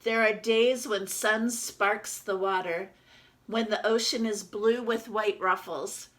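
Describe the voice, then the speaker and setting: A woman reading a children's picture book as a small, timid yellow toy duck, with expressive, dramatic narration. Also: medium pitch, slow. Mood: wistful storytelling.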